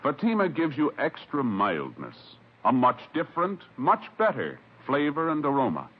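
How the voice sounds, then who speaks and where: A man's voice: mid-range voice, wildly swooping pitch, moderate pace, breathy edge. A man reading a 1950s radio commercial as an announcer, in an emphatic, salesmanlike manner. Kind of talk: 1950s radio cigarette ad read. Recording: dull vintage radio recording.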